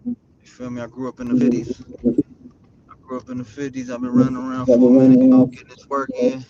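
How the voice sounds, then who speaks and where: A man's voice: medium pitch, sing-song, fast talker, gravelly, crowd noise. A man from South Central Los Angeles, a rapper talking as a guest in a casual interview, laid-back and rambling with lots of slang. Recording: dull lo-fi audio with faint noise.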